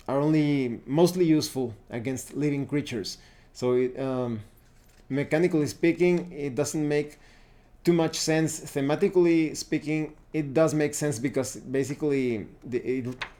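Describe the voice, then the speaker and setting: A man giving a casual, unscripted tabletop game review, often pausing to search and think aloud. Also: fairly high pitch, mellow. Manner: halting, searching, thinking aloud while browsing books.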